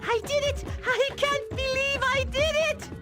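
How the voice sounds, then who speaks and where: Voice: extremely high pitch, fairly even intonation, unhurried, loud music behind the voice. An actor voicing a tiny, cute male lemur in a video game, chirpy, childlike and naively eager. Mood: gleeful. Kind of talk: animated video game voice acting.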